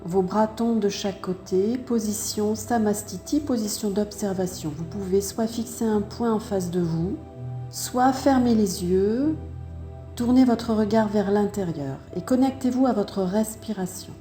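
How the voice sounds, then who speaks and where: A woman yoga teacher with a very soft, dark-toned voice leads a practice slowly and calmly. She gives measured instructions for breathing and posture, leaving pauses between them. The room adds noticeable echo, and faint music plays behind her. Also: medium pitch. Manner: calm, measured step-by-step guidance with pauses.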